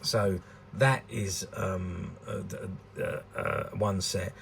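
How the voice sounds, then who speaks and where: A man's voice: sits low, fairly even intonation, takes it slowly, vocal fry, fairly bright tone, a little hiss or hum. A British man in his sixties giving rambling, hesitant, unscripted commentary on a collectable, often repeating words. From United Kingdom. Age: 60s. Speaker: vintage toy dealer presenting a collectable. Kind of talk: unscripted informal product commentary.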